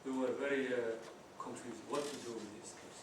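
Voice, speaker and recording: A middle-aged man, an ESL teacher giving a workshop talk, halting with many restarts and fillers. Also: mid-range voice, steady tone. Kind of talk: workshop presentation. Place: conference room. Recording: echoey hall, distant mic, noisy.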